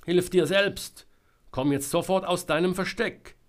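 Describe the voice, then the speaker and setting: Storybook woman reporter, read by a man: bold, suspicious, forceful and exasperated, pitched up. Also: takes it slowly. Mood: confrontational. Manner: forceful, challenging, clipped demands. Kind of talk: dramatic audiobook character voice.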